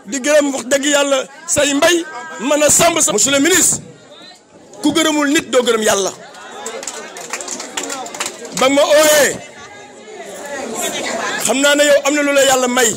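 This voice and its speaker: Very high-pitched, bright-voiced Senegalese man declaiming. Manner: declamatory, emphatic, repetitive. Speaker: political activist giving a tribute. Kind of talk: impassioned public praise speech.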